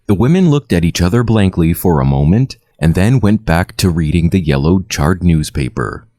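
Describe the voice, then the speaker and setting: Casting: male horror storyteller, low register with animated melody, measured scripted read, very dry recording. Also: dry recording. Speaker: storyteller, narrator.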